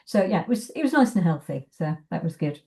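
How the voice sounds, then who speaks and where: A woman with a fairly low, soft-edged, relaxed voice talks casually as an interview guest. She is chatty and hesitant, often feeling for the next phrase as she speaks. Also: brisk. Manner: hesitant, chatty, thinking aloud. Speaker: arts organisation guest.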